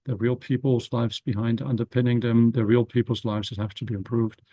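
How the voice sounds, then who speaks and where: Man, panelist, reflective, halting, mellow tone, dry close sound. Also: medium pitch, flat delivery, no echo. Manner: thoughtful, halting, many restarts and "um"s.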